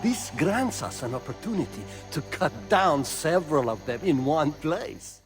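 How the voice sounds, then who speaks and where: An actor playing a streetwise, brooding guild thief in a video game, with an Italian accent. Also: male voice, a little high, big pitch swings, a little slow, over music. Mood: conspiratorial. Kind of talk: dramatic video game cutscene acting.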